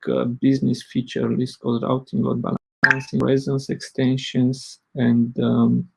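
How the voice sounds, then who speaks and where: Man, gravelly voice, slow and halting, conference talk. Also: medium pitch, poor audio. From Romania. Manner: hesitant, many fillers. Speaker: open source project lead presenting.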